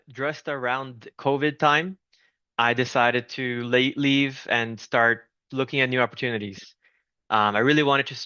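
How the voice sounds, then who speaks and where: A Brazilian-born man in his thirties, a founder chatting as an interview guest, friendly and prone to restarting his sentences. His voice is bright and crisp, recorded dry and close with a slightly dull, lo-fi sound. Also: medium pitch, no room sound. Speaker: game studio founder, guest. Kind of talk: casual interview talk.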